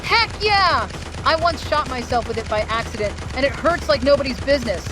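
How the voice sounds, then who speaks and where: A woman's voice: high-pitched, expressive, fairly quick. A woman voicing a cocky, boisterous kid fighter with a brash manner in a cartoon comic dub. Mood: boastful. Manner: brash, swaggering. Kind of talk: fan comic dub voice acting. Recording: very loud music and effects behind. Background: loud music.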